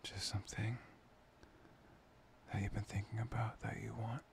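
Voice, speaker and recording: A man playing a tender, playful boyfriend in an intimate ASMR roleplay, breathy and often slipping into a whisper. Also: on the low side, little pitch movement, moderate pace, a little hiss or hum. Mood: gentle, coaxing. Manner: hesitant, intimate, soft-spoken. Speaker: ASMR voice performer.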